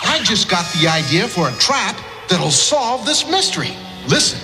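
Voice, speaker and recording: A man's voice: sits high, sing-song, normal speed. A man voicing a confident all-American young gang leader in a cartoon. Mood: confident, urgent. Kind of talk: cartoon voice acting. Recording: loud music over old cartoon soundtrack.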